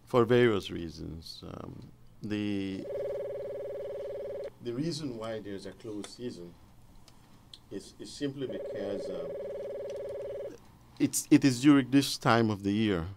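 A man giving formal, measured, halting explanations as an expert guest on radio. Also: fairly low pitch, expressive, very noisy. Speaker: fisheries officer, studio guest expert. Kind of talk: explanatory interview answers. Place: radio studio.

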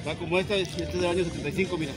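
A middle-aged man selling at an outdoor flea market stall, quickly explaining his goods, picked up off-mic by a customer's phone. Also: high voice, steady tone, music in the background. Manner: quick, explanatory, points out details. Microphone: picked up off-mic by the vlogger's phone.

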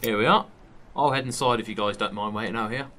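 A man's voice: on the low side, quick pace, bright, forceful tone, slight background noise. A man playing an easygoing, helpful friend in a fan audio drama, casual and matey. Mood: practical. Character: easygoing, helpful male friend of a time traveller. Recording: amateur recording with music behind.

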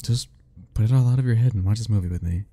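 A man's voice: fairly low pitch, fast, dark tone. A young man doing an ASMR roleplay as a teasing, easygoing roommate talking to the listener, laughing often.

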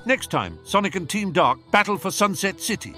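A man's voice: high-pitched, very animated, moderate pace, music in the background. A man giving hyped promotional narration as a show announcer.

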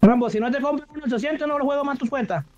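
A man's voice: extremely high pitch, very fast, a little mellow. A man bantering casually in slangy, foul-mouthed style while gaming. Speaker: online gamer. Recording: dull lo-fi voice chat, music behind.

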